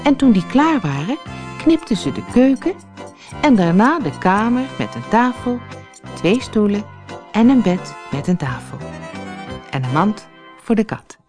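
A woman narrating a children's fairy tale as an audiobook reader, in a deliberate storytelling manner. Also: medium pitch, very animated, over music.

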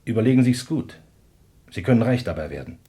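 An actor playing a smooth, menacing, cynical briber who insists silkily in a radio play. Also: male voice, mid-range voice, very animated, fairly quick, warm tone, close to an everyday voice. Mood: smooth menace. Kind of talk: German radio play dialogue.

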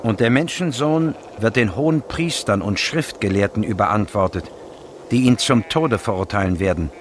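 A solemn prophet acted by a man speaks scripture in a radio drama. The voice is lowish and measured, set against a faint outdoor ambience. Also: lively intonation, a little hiss or hum, lightly acted. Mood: solemn prophecy. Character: Jesus prophesying his death and resurrection, solemn.

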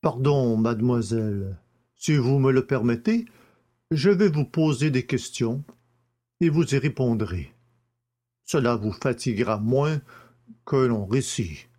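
A man's voice: mid-range voice, lively intonation, mellow. A man voicing a courteous, methodical examining magistrate who formally questions witnesses in an audiobook drama. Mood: methodical, probing. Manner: formal, deliberate, announces each question. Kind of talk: audiobook character acting.

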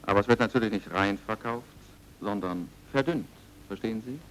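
An actor playing a stern, commanding British military police major in a German radio crime drama, with clipped, authoritative delivery. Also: male voice, on the low side, brisk, fairly bright tone, a little hiss or hum, natural voice, low-quality recording. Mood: grave, bitter.

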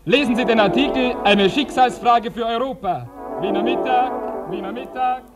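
An actor playing a street newspaper seller crying out headlines in a radio drama, with music behind him. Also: male voice, extremely high pitch, moderate pace. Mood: urgent, hawking. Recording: loud music under the voice.

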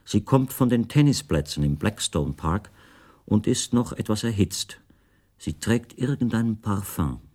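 A man playing a brooding, self-assured writer who tells his story in measured, literary radio drama narration, often dropping to a breathy near-whisper. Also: fairly low pitch, lively intonation, darkish tone, dry recording, studio quality. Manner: measured, deliberate, ornate phrasing. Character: brooding, self-assured bearded male writer, a confined houseguest recounting his life.

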